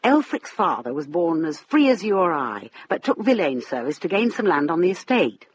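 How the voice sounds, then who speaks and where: Animated widow, muffled recording. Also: female voice, fairly low pitch, normal speed, husky. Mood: earnest, explaining. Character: troubled middle-aged widow, mistress of a household. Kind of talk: radio drama acting. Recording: muffled band, noticeable hiss.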